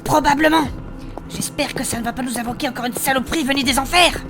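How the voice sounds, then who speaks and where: A science-minded female fighter acted by a woman in a fantasy comedy audio drama. Her high voice stays practical and matter-of-fact as she explains and reassures. Music is clearly present behind her. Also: fast talker, natural voice. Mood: steady. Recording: voice over prominent music bed.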